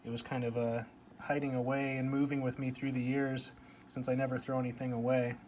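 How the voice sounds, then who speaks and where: A man's voice: mid-range voice, little pitch movement, a little fast, darkish tone, poor audio. American man rambling casually over background noise. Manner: rambling, nostalgic. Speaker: hobbyist vlogger showing a CB radio. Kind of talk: casual show-and-tell chat.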